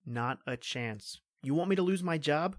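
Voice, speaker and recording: A woman's voice: low voice, animated, normal speed, dry recording, clean studio sound. A woman playing a stoic, protective male police officer in an old-time radio horror drama, brusque and melodramatic. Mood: brisk, reassuring.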